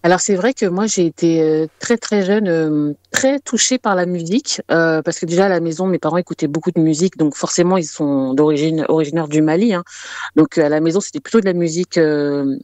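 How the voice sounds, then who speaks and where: A woman's voice: low-pitched, fairly quick, darkish tone, no room sound. A woman music artist giving conversational interview answers, often searching for her words and repeating herself.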